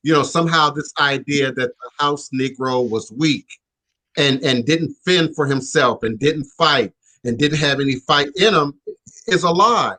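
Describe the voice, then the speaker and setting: A man's voice: fairly high pitch, a little slow, pushed and bright, poor audio. A man hosting a radio show, talking reflectively in casual chat with frequent stammered restarts and "you know".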